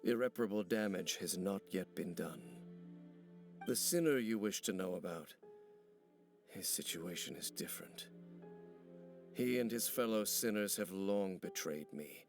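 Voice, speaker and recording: An actor playing a solemn, brooding ancient warrior in a video game, speaking gravely and formally, embittered by old betrayal. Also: male voice, low-pitched. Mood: bitter, solemn. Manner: grave, formal, measured speech. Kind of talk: video game character dialogue. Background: background music.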